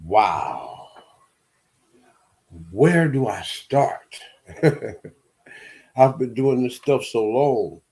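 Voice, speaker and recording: Detroit guy in his 50s hosting his show, real slow, warm dark voice, lots of stop-start repeats. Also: mid-range voice, sing-song. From Detroit, USA. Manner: slow, halting, with many restarts and repeated words. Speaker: show host. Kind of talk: personal monologue.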